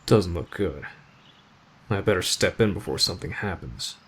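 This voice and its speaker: A man acting in an ASMR roleplay as a gruff, aloof teenage delinquent, deadpan and terse but protective of a friend. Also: low voice, moderate pace, heavily acted. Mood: stern, unimpressed.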